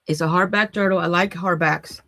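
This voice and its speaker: A man's voice: high-pitched, steady tone, a little fast, creaky, bright, forceful tone, dry recording, clean studio sound. A man with a nasal voice hosting a casual livestream, rambling with many fillers and restarts. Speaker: livestream host.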